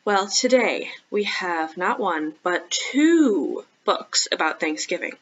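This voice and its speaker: A woman leading a storytime for young children as a librarian, talking directly to the kids with questions and pauses. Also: on the low side, expressive, a bit bright, dull audio. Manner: child-directed, asking questions and pausing for answers.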